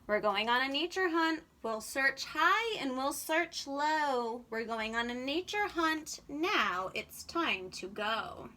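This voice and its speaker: A woman reading a picture book aloud to young children in an animated, sing-song, expressive way. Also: high voice, slow, few pauses, slight background noise.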